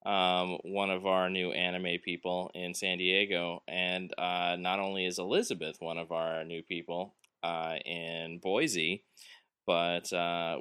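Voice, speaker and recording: A male podcast host with a lowish voice and a bright, forceful edge. He jokes around and rambles through his intros, leaning on plenty of fillers. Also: clean tone, no echo. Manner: joking, rambling with many fillers. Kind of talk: casual podcast chat.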